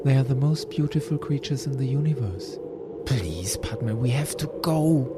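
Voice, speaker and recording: A man playing a young hero begs the woman he loves to come away with him, speaking slowly and urgently at a mid pitch. Orchestral film music swells loudly behind his voice. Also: expressive, lightly acted. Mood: pleading. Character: young male hero pleading with his lost love. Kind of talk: film dialogue. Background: film score.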